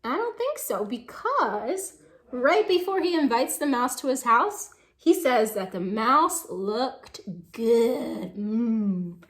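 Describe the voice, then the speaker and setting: A woman reading to young children and discussing the book, expressive and asking questions directly to the listeners. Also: fairly high pitch, slow pace, echoey room. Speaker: children's story reader and teacher. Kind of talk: animated read-aloud and discussion for young children.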